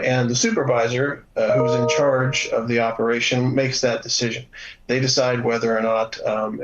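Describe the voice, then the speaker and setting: A man giving a measured, halting explanation as an expert guest in a news interview, with frequent hesitations. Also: medium pitch, creaky, highs cut off. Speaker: former air traffic controller, instructor, guest expert.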